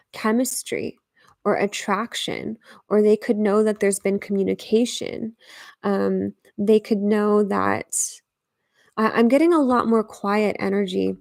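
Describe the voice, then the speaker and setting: A woman's voice: medium pitch, slow pace, vocal fry, darkish tone, no room sound, clean studio sound. A woman doing a live tarot reading in a chatty, think-aloud way, with many fillers and some whispered asides. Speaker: tarot reader.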